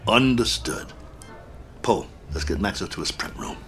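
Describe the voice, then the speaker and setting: Low-voiced, eager fight manager down on his luck, music behind. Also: lively intonation, unhurried. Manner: eager, pleading. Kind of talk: 1960s-style radio drama acting.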